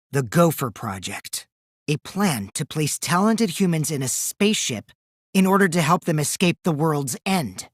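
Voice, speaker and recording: A very dry, close recording of a boyish, high-voiced teenage trickster from a video game. The delivery is theatrical and taunting, swinging widely between sing-song teasing and cold deceit. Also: male voice, no echo. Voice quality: boyish, light. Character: mischievous, taunting teenage boy schemer, gleefully deceitful. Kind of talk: animated video game dub acting.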